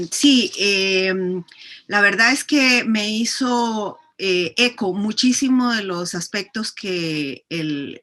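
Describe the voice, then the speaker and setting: A middle-aged woman from Chile speaks in a class held over a video call. She picks her words slowly and fills the gaps with hesitant pauses, and her voice sits at a mid pitch. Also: fairly bright tone. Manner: thoughtful, many hesitations. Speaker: course participant. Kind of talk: online class introduction.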